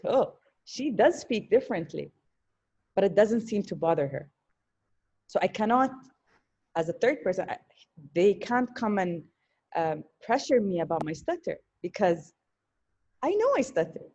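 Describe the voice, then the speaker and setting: Earnest woman, mellow voice. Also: medium pitch, highs cut off. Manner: thoughtful, earnest, with hesitations and repetitions. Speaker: panelist. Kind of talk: online panel discussion. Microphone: video call.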